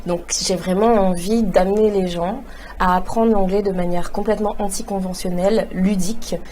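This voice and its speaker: A woman's voice: medium pitch, steady tone, moderate pace, warm tone, slight background noise. A woman, a talk show guest answering earnestly and enthusiastically, with many fillers and restarts. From Réunion. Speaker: guest, entrepreneur. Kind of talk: conversational interview answers.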